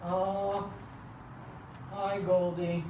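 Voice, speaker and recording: Woman doing a slow, mellow, reluctant boy. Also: lowish voice, level tone, voice pushed down. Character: slow, reluctant, easygoing boy puppet who wants play. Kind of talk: children's puppet show. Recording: roomy, distant mic, faint noise.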